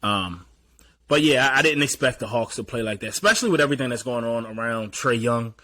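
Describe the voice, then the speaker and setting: A man with a mid-pitched, bright, forward voice. He breaks down games in an easygoing, analytical way and often clears his throat. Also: brisk, a little hiss or hum. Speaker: podcast host. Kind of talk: casual sports chat.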